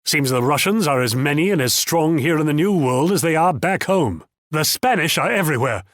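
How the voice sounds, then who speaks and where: A shrewd, moneyed Dutch commander for a strategy video game. His voice sits a little high for a man and swings freely up and down, captured close and dry. He sounds pompous and theatrical, talking down to his listener with proud self-assurance. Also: lively intonation, a little fast, a bit bright, dry recording. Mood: gloomy. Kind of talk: video game character voice lines.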